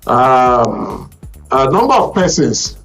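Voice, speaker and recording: A man with a Nigerian accent presents a current affairs programme as its host, in a deliberate, formal broadcast delivery. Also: medium pitch, very animated, vocal fry, fairly bright tone, slightly rough, faint music behind. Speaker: radio and TV talk show host.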